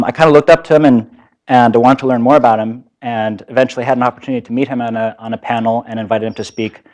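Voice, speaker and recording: A man in his thirties speaks into a microphone in a lecture hall. His voice sits at a middle pitch, and he talks in a relaxed way, full of small fillers and restarts as he explains his background to a seminar audience. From Japan. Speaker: startup founder giving a seminar talk. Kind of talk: conference lecture.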